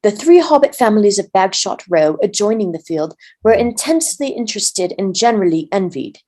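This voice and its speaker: A woman's voice: medium pitch, lively intonation, brisk, dark tone. A woman narrating a storybook as a volunteer reader.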